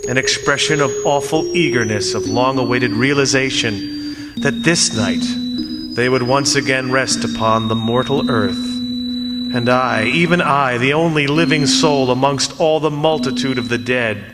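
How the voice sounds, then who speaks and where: A man's voice: medium pitch, heavy background noise, natural voice. An actor playing a frightened, desperate condemned man in old-time radio theatre, dramatic and poetic in his delivery. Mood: awed, hushed narration. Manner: dramatic, halting pleas and poetic, solemn narration. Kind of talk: old-time radio theatre acting and first-person narration. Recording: long reverb, loud music behind.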